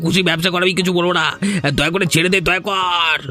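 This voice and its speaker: A man's voice: sits high, level tone, fairly quick, bright, forceful tone. A village villain from a cartoon, acted by a man, bullying and cowardly at heart. He schemes in an adult male voice, with faint music behind him. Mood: terrified pleading. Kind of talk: cartoon voice acting.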